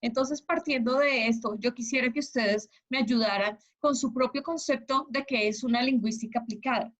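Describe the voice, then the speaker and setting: Higher-voiced Colombian woman teaching online. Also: normal speed, a bit bright. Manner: encouraging, checks understanding with questions. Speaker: language teacher leading an online class. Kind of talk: interactive lecture. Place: online video class with slides. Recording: dull, lo-fi online class audio.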